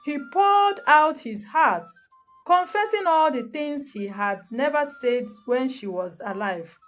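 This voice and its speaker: A woman narrating a scripted folktale as a storyteller, solemn and dramatic, in short deliberate phrases. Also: high voice, a bit bright. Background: music under the voice.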